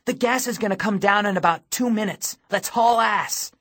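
Man voicing a brusque, higher-pitched, ruthless young woman. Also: unhurried, fairly bright tone. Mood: brusque, urgent. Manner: bossy, clipped, sardonic.

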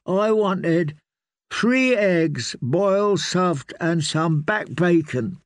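A man's voice: sits high, expressive, no room sound, clean studio sound, voice pushed up, exaggerated voice. A man voicing a sulky, sickly little boy lord who whines and draws out his complaints. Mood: whining. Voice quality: whiny, drawn-out complaining.

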